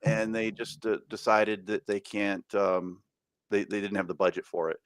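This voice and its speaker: A man's voice: lowish voice, fairly even intonation, moderate pace, no echo. A man co-hosting a casual podcast, rambling with lots of fillers and restarts. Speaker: podcast co-host.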